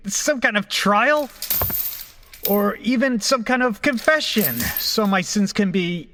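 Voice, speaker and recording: A man's voice: high voice, animated, takes it slowly, fairly bright tone, a little hiss or hum, full character voice. A man playing a brash, rattled young rocker in a horror audio drama, colloquial, incredulous and foul-mouthed. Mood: alarmed, pleading. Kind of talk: horror audio drama acting and first-person narration.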